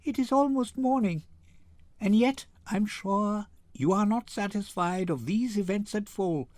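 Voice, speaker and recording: A man's voice: sits high, a little slow, darkish tone, dry recording, voice pushed up. An older man voicing a witty, commanding young noblewoman in a poised, theatrical Shakespeare reading. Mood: gracious.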